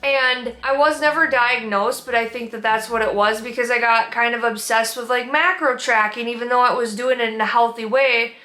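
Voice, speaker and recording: A young woman with a Minnesotan accent speaks in a sit-down vlog, candid and reflective. Her voice sits a little high and rings bright and forward. The room around her is audibly echoey. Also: steady tone, normal speed, few pauses. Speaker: vlogger. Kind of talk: sit-down personal talk.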